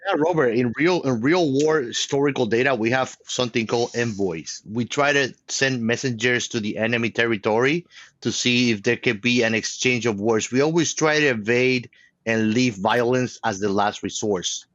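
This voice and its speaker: A man's voice: medium pitch, moderate pace, a bit bright, dry recording. A man debating as a podcast co-host, emphatic and somewhat formal in his wording, on a slightly lo-fi microphone. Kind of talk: casual debate.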